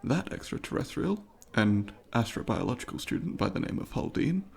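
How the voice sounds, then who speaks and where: A man's voice: medium pitch, sing-song, normal speed, creaky, husky, heavily acted. A man voicing a polite, eager alien student in an ASMR roleplay, speaking formally and precisely to the listener in an inquisitive, apologetic way. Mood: polite, curious.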